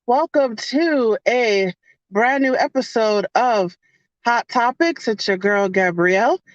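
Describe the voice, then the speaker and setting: Woman podcast host, slow talker, chatty, dry muffled car sound. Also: a little high, no echo. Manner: chatty, repeats phrases, upbeat. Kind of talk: casual podcast intro and interview. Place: in her car.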